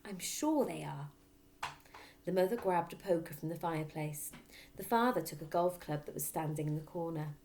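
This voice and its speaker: A woman reading a children's story aloud like a teacher, in expressive narration. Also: low voice, brisk, a little hiss or hum.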